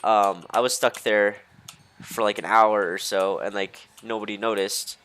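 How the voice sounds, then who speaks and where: A young man telling personal stories in a casual, rambling way, halting and easily sidetracked, leaning heavily on filler words like "like". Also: on the low side, fairly even intonation, fairly bright tone, clear, resonant tone. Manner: hesitant, halting, easily distracted, heavy use of "like". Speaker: storyteller.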